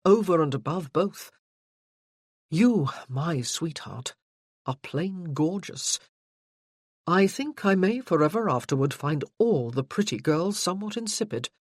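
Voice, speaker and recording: An audiobook narrator voicing a confident gentleman suitor who talks in a playful, teasing way, close to the narrator's own voice. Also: medium pitch, lively intonation, unhurried, no echo. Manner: playful, teasing, then earnest.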